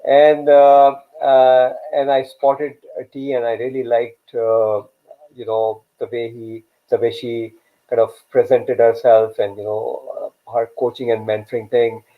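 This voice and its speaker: A man's voice: medium pitch, flat delivery, a little slow. Man with a native Urdu tongue on a tinny line. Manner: rambling, repeats words while thinking. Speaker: host. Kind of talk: live online talk show.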